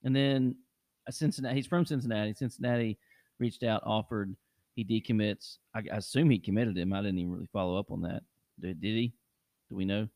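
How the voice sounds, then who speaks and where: A man's voice: on the low side, steady tone, a little fast, a little mellow, no echo, clean studio sound. A man hosting a casual sports podcast, loose and chatty, repeating words for emphasis. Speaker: podcast host.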